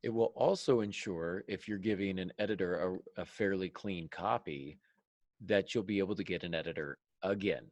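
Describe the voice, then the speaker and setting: Very deep-voiced man hosting a podcast. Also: normal speed, a little mellow, no echo, muffled recording. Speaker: podcast host and fiction writer. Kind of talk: casual podcast discussion giving writing advice.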